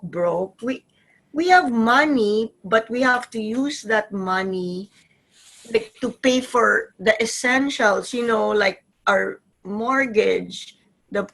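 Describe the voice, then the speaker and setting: A woman acting a gentle, placating wife and mother in a staged play reading. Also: on the high side, exaggerated voice, poor audio. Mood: soothing. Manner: soothing, hesitant.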